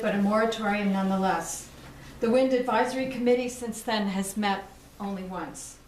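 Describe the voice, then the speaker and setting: Firm woman reading a statement. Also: medium pitch. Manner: firm and deliberate, listing demands point by point. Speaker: resident reading a statement at public comment. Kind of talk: formal prepared statement read aloud. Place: town board meeting room. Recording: echoey room from a distant mic, faint hiss.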